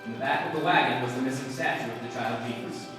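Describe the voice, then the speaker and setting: A man's voice: medium pitch, fast, close to an everyday voice. A man playing a terse police detective, narrating in clipped, understated 1950s radio drama style. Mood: terse, deadpan. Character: terse, matter-of-fact male police detective narrating a case. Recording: echoey hall from far off, music behind. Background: music.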